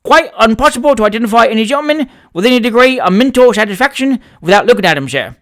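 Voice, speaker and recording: A reader voicing a cheeky young male manservant who talks in a dogmatic, forceful, wordy way and drops letters in working-class dialect. The figure stays stubborn throughout. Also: mid-range voice, lively intonation, fast talker, pitched above the performer's own voice.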